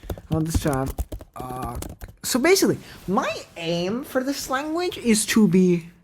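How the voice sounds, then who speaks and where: A woman's voice: medium pitch, big pitch swings, a little slow, darkish tone, a little hiss or hum. A woman whose first language is Cantonese, thinking aloud at her computer as she plans a made-up language, rambling and questioning herself with many fillers. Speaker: language creator. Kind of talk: thinking aloud while screen-recording.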